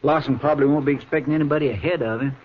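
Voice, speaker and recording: An eager frontier deputy, played by a man with a lightly high, American voice. He speaks quickly, in a folksy and respectful way, like a 1950s radio western sidekick. The recording is muffled and old. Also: slight background noise, natural voice. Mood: helpful.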